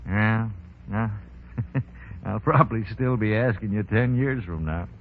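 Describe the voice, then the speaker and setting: A man's voice: on the low side, unhurried, gravelly, slight background noise. A man acting a shrewd American racketeer boss in a 1930s radio drama, with slangy, sardonic, commanding talk. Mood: persuasive. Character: smooth, shrewd male racketeering crime boss. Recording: dull 1930s radio broadcast.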